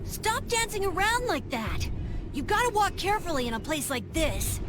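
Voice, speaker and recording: High-pitched, excitable young boy. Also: normal speed, background noise. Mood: scolding. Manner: eager, bossy, childlike. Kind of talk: animated video game dub acting.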